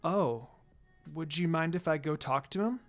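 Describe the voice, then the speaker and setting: A reader voicing an earnest, emotional teenage girl fighter in a soft-spoken way. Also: medium pitch, measured pace, faint music behind, natural voice. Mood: polite.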